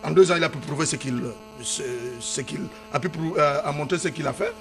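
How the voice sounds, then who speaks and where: Panel guy on tv debate, talks fast and loud, keeps repeating his point. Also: a little high, over music. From Gabon. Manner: loud and insistent, repeats himself. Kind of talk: studio political debate.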